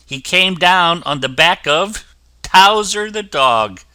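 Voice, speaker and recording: A man reading a children's fairy tale aloud as the storyteller, with an expressive delivery. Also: medium pitch, no echo.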